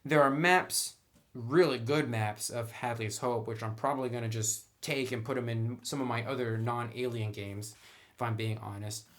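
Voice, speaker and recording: A man's voice: mid-range voice, fairly even intonation, normal speed. Casual man reviewing tabletop games. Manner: informal, conversational, opinionated.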